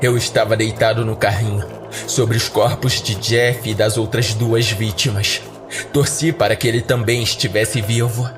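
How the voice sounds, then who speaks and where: Um homem com sotaque brasileiro narrando uma história de terror em primeira pessoa, de modo pausado e dramático. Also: male voice, fairly low pitch, fairly even intonation, over music. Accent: Brazilian. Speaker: horror podcast narrator. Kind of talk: scripted first-person horror narration.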